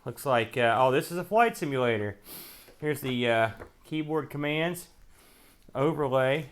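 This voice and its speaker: A man's voice: mid-range voice, lively intonation, a little slow. A man hosting a retro gaming show, giving casual, wry unboxing commentary in folksy slang as he thinks aloud while handling items.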